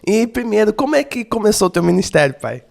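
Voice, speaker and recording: Brazilian host with a cold, gravelly voice. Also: male voice, high-pitched, sing-song, quick pace, darkish tone. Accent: Brazilian Portuguese. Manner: chatty, exclaims often. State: has a cold, voice sounds odd. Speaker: podcast host. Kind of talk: casual podcast hosting.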